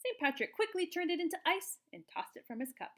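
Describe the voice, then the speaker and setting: A woman reading a picture book aloud to young children in an expressive, explanatory way, like a teacher. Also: very high voice, fast talker. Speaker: children's storybook reader, teacher.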